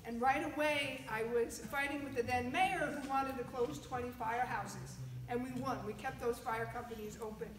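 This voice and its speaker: A woman's voice: a little high, steady tone, moderate pace, few pauses, noisy background. A woman candidate giving a firm, combative closing statement at a community forum. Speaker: political candidate. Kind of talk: campaign speech at a community forum. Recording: echoey hall, speaker far from mic.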